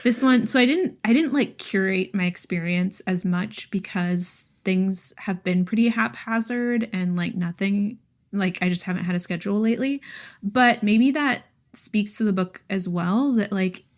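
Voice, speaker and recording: A woman's voice: mid-range voice, moderate pace. A woman chats casually as a podcast co-host. She talks informally and with animation, sprinkling in filler words and breaking easily into giggles. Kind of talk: casual book chat.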